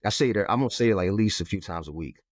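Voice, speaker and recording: Brief: low, firm-toned male educator, emphatic repeats, dry close sound. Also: expressive, very fast, dry recording. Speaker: math educator and author. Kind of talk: conversational interview.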